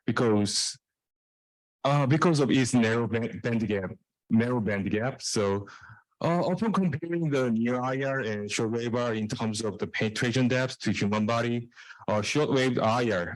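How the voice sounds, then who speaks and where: A man presents technical research. His voice sits at an average male pitch. He moves haltingly, often restarting and repeating phrases, and the sound is dry with little room in it. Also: no echo, rough recording. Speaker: researcher presenting own work.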